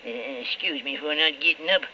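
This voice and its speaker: A sickly, shrunken old Southern political boss from a radio play. His voice is fairly high and piercing, coaxing and blustering in folksy country talk. The old recording is dull. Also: fairly even intonation, brisk, pushed and bright, slight background noise. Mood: folksy, sly. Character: wizened small sickly rural political boss, over fifty, demanding. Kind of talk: old-time radio drama acting.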